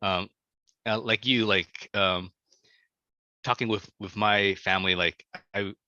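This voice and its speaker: Deep bright-toned man, stammering. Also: steady tone, slow pace, no echo. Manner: stammering, repeats words, laughs easily. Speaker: author being interviewed. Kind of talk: casual conversation. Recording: dull lo-fi audio.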